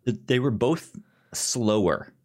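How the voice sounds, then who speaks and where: A man co-hosting a podcast in casual film discussion, halting and often repeating words as he goes. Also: mid-range voice, animated, darkish tone. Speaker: podcast co-host.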